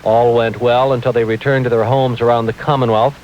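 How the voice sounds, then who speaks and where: A man's voice: mid-range voice, little pitch movement, brisk. American radio newsman, dull hissy AM recording. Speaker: radio news reporter. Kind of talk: scripted news report.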